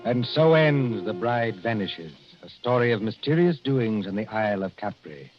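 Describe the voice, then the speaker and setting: A man's voice: fairly low pitch, lively intonation. Formal 1940s radio announcer man over music. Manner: measured, formal, deliberate. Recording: muffled 1940s radio broadcast. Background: prominent music.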